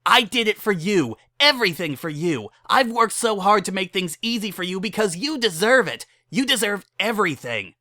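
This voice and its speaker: A woman's voice: medium pitch, very animated, normal speed, few pauses, sharp tone, no room sound, clean studio sound, voice pushed up. A woman voicing an angry, heartbroken young female pony in a dramatic story reading, impassioned and pleading. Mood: distraught, yelling.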